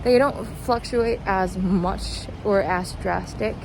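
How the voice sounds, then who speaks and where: Slow-talking young woman rambling outdoors, traffic noise. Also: medium pitch, gravelly. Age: young adult. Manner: self-deprecating, tangential, often trailing off. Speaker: vlogger. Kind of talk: casual rambling vlog while walking. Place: outdoors on a walk in a city. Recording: noisy outdoor recording with traffic.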